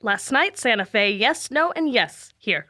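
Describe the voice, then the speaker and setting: A woman's voice: on the high side, lively intonation, unhurried, sharp tone. A woman playing a proud, exasperated teenage girl monster hunter in an audio drama. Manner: exasperated, sarcastic.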